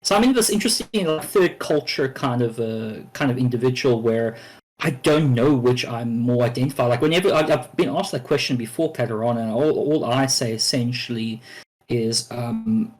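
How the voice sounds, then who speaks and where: A man's voice: mid-range voice, expressive, moderate pace, a little mellow, poor audio. A man in his twenties with a South African accent tinged with Australian, talking at length in a casual chat and leaning on fillers. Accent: South African with Australian influence. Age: 20s. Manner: rambling, full of fillers like "essentially". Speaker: guest in a live chat. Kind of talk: casual discussion.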